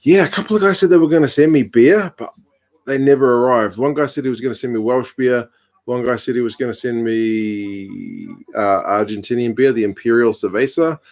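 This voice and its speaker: A man, hosting a casual live stream from home, reading out viewer comments with a relaxed, chatty manner and dry humour. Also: mid-range voice, a little fast. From New Zealand. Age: 30s.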